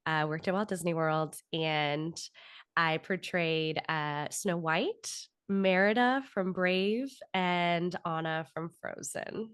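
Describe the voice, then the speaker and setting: Low-pitched American woman, dry close sound. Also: slow, fairly bright tone, clean tone, dry recording. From Florida, United States. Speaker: podcast guest, author and actor. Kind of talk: conversational interview answers.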